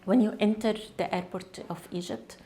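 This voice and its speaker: A woman whose first language is Arabic, speaking English as an interview guest in an earnest, halting way with frequent restarts. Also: medium pitch, steady tone, warm tone, a little hiss or hum. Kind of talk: conversational interview.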